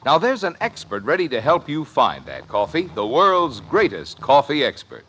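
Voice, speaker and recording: Animated vintage American radio pitchman. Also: normal speed. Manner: polished, persuasive. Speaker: commercial announcer. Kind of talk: 1950s radio advertisement, scripted.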